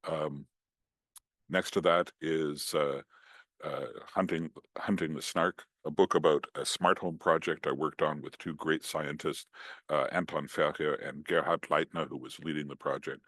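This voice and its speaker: A man with a Scottish accent giving a relaxed, chatty online talk over a computer microphone, joking at his own expense. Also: a bass voice, unhurried, dry recording, clean studio sound. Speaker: guest speaker. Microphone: computer mic on a video call.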